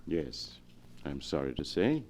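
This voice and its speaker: An actor playing a coolly sinister professor with formal, deliberate phrasing in a 1940s radio mystery. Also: male voice, a bass voice, sing-song, darkish tone, exaggerated voice. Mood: cool, measured. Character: coolly sinister professor, measured and darkly amused. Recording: vintage 1940s radio recording.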